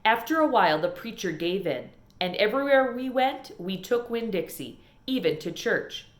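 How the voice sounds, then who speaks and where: A woman reading a children's novel aloud as an expressive storyteller, in an echoey room. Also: mid-range voice, moderate pace. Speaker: storybook reader.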